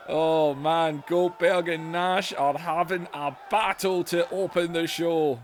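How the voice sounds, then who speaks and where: A man commentating a wrestling video game, shouting at big moves as his voice goes hoarse. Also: fairly high pitch, fairly even intonation, takes it slowly, a little hiss or hum. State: voice going hoarse.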